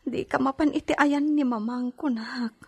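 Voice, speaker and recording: A woman's voice: high-pitched, fairly even intonation, normal speed, creaky, breathy edge, full character voice. An actress playing a weak, pleading pregnant young lady in a radio drama, plaintive and weary, with a Filipino accent. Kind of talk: Ilocano radio drama acting.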